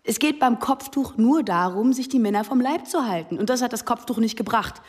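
Brief: actress as a sharp-tongued student, confrontational, higher voice. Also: female voice, lively intonation, brisk, close to an everyday voice. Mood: heated. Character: outspoken young female student, provocative. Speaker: student in class discussion. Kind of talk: radio drama acting.

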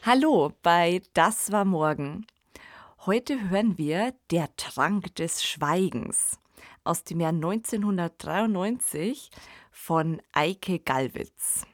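A woman's voice: lowish voice, lively intonation, slow, no echo. A woman hosting a podcast, delivering a scripted introduction. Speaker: podcast host. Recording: dry studio with faint music. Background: faint music.

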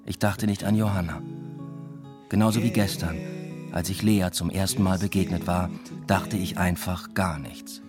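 An actor as a deep-voiced, introspective academic. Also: male voice, fairly even intonation, normal speed, over music, close to an everyday voice. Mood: reflective. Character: weary, dry, introspective male academic narrating his own life. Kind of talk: literary first-person narration in a radio play.

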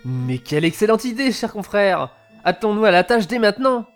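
Man playing a pompous, excitable male physicist. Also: sits high, moderate pace, echoey room, in a large hall, soft background music, pitched above the performer's own voice. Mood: excited, showy. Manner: pompous, theatrical. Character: Charles, eager, bombastic French male physicist. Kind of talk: comedic audio drama acting.

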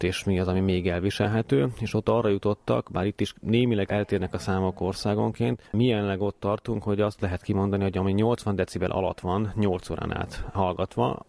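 A man's voice: deep voice, vocal fry, a little mellow. A man speaking as an expert guest in an interview, explaining technical matters in detail. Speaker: electrical engineer, expert guest.

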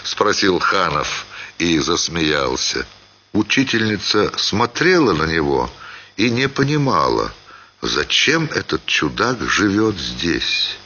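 Male narrator for literary prose: low, bright voice, theatrical phrasing, slightly dull recording. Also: sing-song, slow, breathy edge. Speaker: actor reading a short story. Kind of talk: expressive scripted literary narration.

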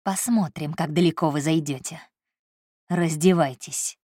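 A woman's voice: low-pitched, a little slow, warm tone, no echo, studio quality. A woman voicing a domineering, hot-tempered wealthy employer who speaks curtly and coldly. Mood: menacing. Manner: curt, commanding, cold.

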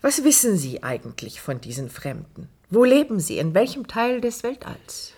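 An actress playing a curious, uneasy interviewer, probing with questions in a radio drama. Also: female voice, medium pitch, sing-song, moderate pace, a little mellow, slightly rough. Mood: inquiring. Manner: inquiring, probing. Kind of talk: German radio drama acting.

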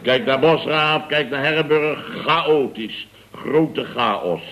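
A man's voice: medium pitch, takes it slowly, dull audio, natural voice. An actor playing a self-satisfied, blustering male boss of a building firm, bossy and pompous in clipped repeated phrases, in a radio comedy serial. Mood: smug, boastful.

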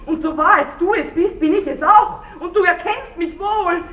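A woman's voice: extremely high pitch, normal speed, a bit bright. An actress playing a wailing, melodramatic woman who claims to be wronged, in a theatrical German radio play. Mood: tearful, mock-pathetic. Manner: melodramatic, lamenting. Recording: roomy radio drama recording.

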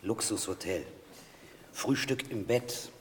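A mocking male guard in a radio play. He drags out terse deadpan phrases very slowly, slightly low in pitch, from across an echoing hall. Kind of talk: German radio play acting. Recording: echoey hall, distant from mic, faint noise.

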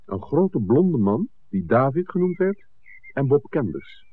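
An actor playing an earnest, persistent visitor looking into village secrets in a 1960s Dutch radio drama, polite and articulate. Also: male voice, medium pitch, sing-song, unhurried, breathy edge. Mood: earnest, factual. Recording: thin, phone-like old radio recording.

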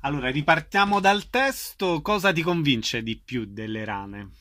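A man's voice: on the high side, expressive, a little slow, sharp tone, dry recording, studio quality. A man working as an interviewer, putting short conversational questions to a guest.

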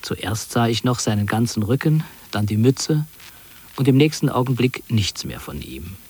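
Radio play memoirist: reflective, measured delivery, mid-range voice with a slightly husky grain. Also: male voice, mid-range voice, sing-song, a little mellow. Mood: sober recollection. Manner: measured, reflective, carefully phrased. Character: reflective man recalling his wartime flight as a youth. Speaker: autobiographical narrator. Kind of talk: literary radio play narration.

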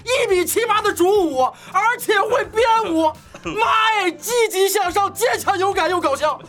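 A woman with a high voice banters casually and pitches like a playful online seller. Faint music sits behind her on the variety-show recording. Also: moderate pace, a bit bright. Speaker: voice actor on a cast variety show. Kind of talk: casual banter and playful sales-style promotion.

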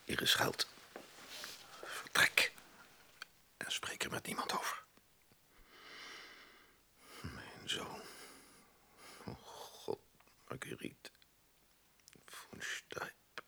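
An actor in a radio drama playing a stern, proud older aristocratic father, gravelly and commanding in short clipped sentences. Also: extremely slow, pauses often, pushed and bright, in a whisper, exaggerated voice. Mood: stricken, hushed. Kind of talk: Dutch radio drama acting.

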